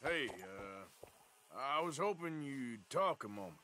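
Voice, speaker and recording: An actor playing a rugged, wry and laconic American outlaw cowboy in a video game. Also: male voice, medium pitch, big pitch swings, slow pace, bright, forceful tone, a little hiss or hum, exaggerated voice. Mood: wry, polite. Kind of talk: video game cutscene acting.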